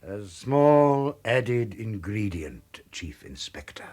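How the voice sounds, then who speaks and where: An actor in a radio drama playing a suave, gloating master criminal who speaks with ornate, menacing courtesy. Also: male voice, on the low side, very animated, takes it slowly. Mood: coolly menacing. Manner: elaborately courteous, ornate formal phrasing. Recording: faintly noisy radio drama recording.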